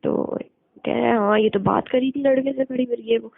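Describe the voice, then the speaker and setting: A woman's voice: a little high, steady tone, moderate pace, vocal fry, dry recording. A young woman in casual, blunt conversation over a phone line, retelling events and quoting people. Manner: colloquial, blunt, quotes people as she retells. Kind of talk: casual chat, recounting school-days gossip. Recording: narrow dry phone-call recording.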